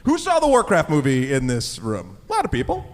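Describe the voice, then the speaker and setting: A man's voice: fairly high pitch, huge pitch swings, fairly quick. A man bantering and discussing casually as a panelist in front of a live audience. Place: large indoor convention panel room. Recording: reverberant convention hall.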